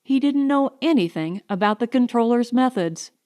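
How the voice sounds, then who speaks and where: A woman narrating a science fiction audiobook in a measured, deliberate, dramatic way. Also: a little high, animated, mellow.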